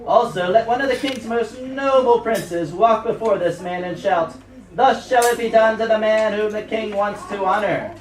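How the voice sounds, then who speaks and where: Man playing a high-voiced melodramatic villain puppet, echoey. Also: moderate pace, close to an everyday voice. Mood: smug. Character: wicked, self-important nobleman puppet, craven when caught. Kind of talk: amateur puppet show acting. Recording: echoey room, distant mic, audience noise.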